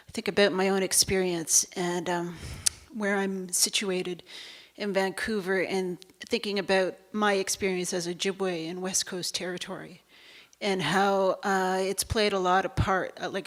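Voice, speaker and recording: Canadian lady artist talking about her practice, informal, kinda searching for words, mid pitch. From Ontario, Canada.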